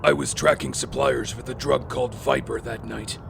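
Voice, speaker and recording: A man playing a grim, self-assured hooded vigilante in an audio drama, terse and stern with a gravelly voice. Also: medium pitch, steady tone, normal speed, background noise.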